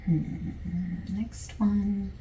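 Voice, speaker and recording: A woman playing a friendly, easygoing survey taker in a softspoken, partly whispered ASMR roleplay, with gentle, chatty asides. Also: mid-range voice, steady tone, drawn-out words, reverberant, far from the mic, a little hiss or hum. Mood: gentle, casual. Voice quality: soft, breathy, partly whispered.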